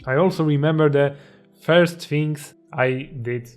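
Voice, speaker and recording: A man's voice: on the high side, measured pace. A young man with a Polish accent, a native Polish speaker, giving a motivational explainer with slightly hesitant, non-native phrasing over background music. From Poland. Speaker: self-improvement video creator.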